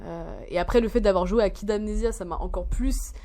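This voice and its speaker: A woman's voice: medium pitch, a little fast, warm tone. A woman in her twenties, rambling and swearing as she reacts to a video in casual slang. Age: 20s.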